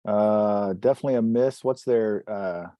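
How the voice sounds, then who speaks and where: A man's voice: lowish voice, moderate pace, mellow, no echo. A man chatting casually as a player in a tabletop role-playing game.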